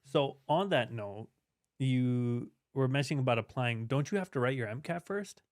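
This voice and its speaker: Male podcast host, smooth voice, dry close mic, chatty and casual. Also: medium pitch, expressive, normal speed, no room sound. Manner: chatty, tags lines with "right?". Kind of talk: casual interview talk.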